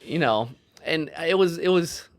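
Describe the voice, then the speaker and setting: A man's voice: a little high, expressive, a little slow. Man thinking aloud in casual discussion. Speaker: podcast co-host.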